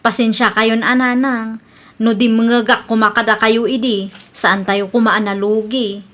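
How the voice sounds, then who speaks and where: A woman's voice: a little high, level tone, a little slow, a bit bright. A woman playing an earnest, respectful young wife and daughter-in-law in a radio comedy drama, with an Ilocano Filipino accent. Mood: humble, earnest. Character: apologetic, humbled young married daughter-in-law.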